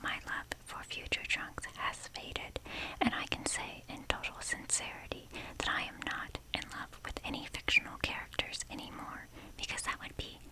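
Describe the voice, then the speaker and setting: A young woman hosting an ASMR video in a breathy, unvoiced whisper, rambling casually with a self-deprecating manner. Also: normal speed, poor audio.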